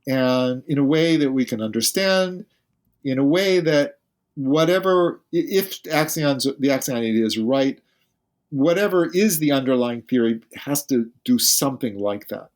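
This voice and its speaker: A man's voice: mid-range voice, lively intonation, a little slow. Man explaining physics, thinking aloud. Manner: thinks aloud, explains carefully with many restarts. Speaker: guest physicist. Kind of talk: podcast interview.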